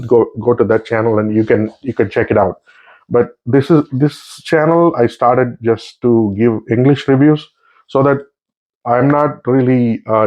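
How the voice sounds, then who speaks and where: A middle-aged man whose first language is Tamil, reviewing books in a casual, halting chat full of restarts and fillers. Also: medium pitch. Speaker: book reviewer. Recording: slightly dull lo-fi sound.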